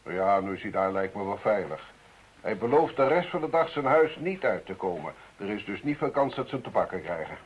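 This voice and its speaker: An actor playing a curt, sceptical police inspector in a radio drama, with a husky voice. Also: male voice, on the low side, big pitch swings, brisk. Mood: matter-of-fact. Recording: dull old radio recording.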